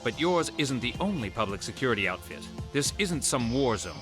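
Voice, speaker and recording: A cold government intelligence chief played by a man in an anime game. His mid-pitched voice is formal and condescending, with music clearly behind it. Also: sing-song, close to an everyday voice. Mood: cold, reproachful. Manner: measured, formal, reproachful. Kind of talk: English anime game dub acting.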